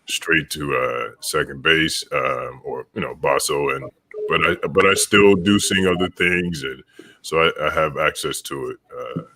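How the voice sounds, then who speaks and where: A man who sings bass, telling stories in a relaxed way with many fillers on a video call. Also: measured pace. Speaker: opera singer, bass. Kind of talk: casual panel chat.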